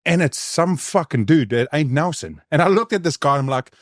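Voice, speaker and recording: A man from South Africa with a softened South African accent, hosting a podcast and telling a story in a frank, rambling, profane way. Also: on the high side, expressive, normal speed, dry recording, studio quality. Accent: South African, softened by living in New Zealand. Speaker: podcast host. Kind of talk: casual conversational storytelling.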